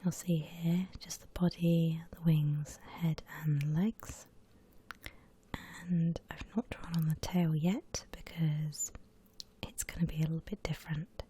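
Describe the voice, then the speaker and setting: A woman whispers softly and breathily through an ASMR art tutorial. Her voice is mellow and slow, with gentle step-by-step explanations. There is faint noise on the recording. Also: deep voice, level tone. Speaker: ASMR artist hosting a drawing tutorial.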